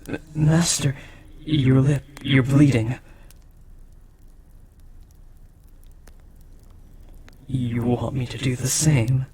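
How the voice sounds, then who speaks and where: A man playing a devoted, lovestruck demon in an intimate audio roleplay, speaking formally, earnestly and with breathy hesitation. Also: mid-range voice, steady tone, a little slow, mellow, slight background noise, close to an everyday voice. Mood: startled, aroused. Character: devoted, lovestruck powerful demon bound to a sorcerer.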